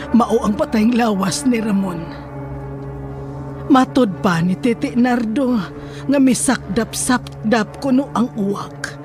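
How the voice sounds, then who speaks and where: A distraught widow played by an actress in a horror radio drama, with a Visayan Filipino accent and a fairly high voice. She delivers her words slowly and heavily, under loud music. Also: lightly acted. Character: distraught widow Amanda, narrating her own tragedy.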